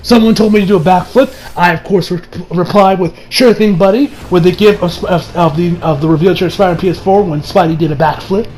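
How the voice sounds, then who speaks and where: High-voiced man giving a casual review. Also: fairly quick, few pauses, poor audio. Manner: informal, sweary, excitable. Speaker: YouTube movie reviewer. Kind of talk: casual rambling review commentary.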